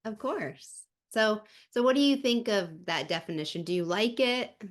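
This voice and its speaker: A woman's voice: mid-range voice, animated, moderate pace. A woman hosting a podcast, asking questions in a friendly, affirming way during a casual interview. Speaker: podcast host.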